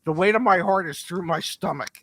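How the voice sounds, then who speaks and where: An older American man hosting a conversational podcast interview in a deliberate, storytelling manner. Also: high voice, crowd noise. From United States. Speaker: podcast host.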